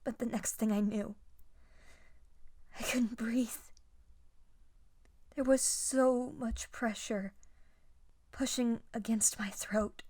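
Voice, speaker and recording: A woman playing a wounded young Jedi survivor in an audio roleplay, gentle and haunted, with a soft, hesitant delivery. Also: fairly high pitch, fairly even intonation, takes it slowly, pauses often, dry recording, studio quality. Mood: fearful, hushed. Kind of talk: dramatic first-person audio roleplay.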